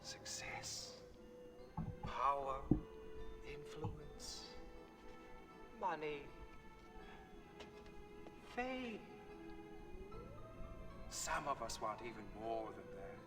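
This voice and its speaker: Sinister house master, seductive, theatrical game villain, highish wide-swinging pitch, distant in a hall. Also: male voice, very animated, full character voice. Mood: sinister, musing. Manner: theatrical, slow, dramatic pauses, insinuating. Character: sinister seductive master of a living haunted house, tempting victims. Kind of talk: 1990s adventure game cutscene acting. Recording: long hall reverb, distant, music underneath. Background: music.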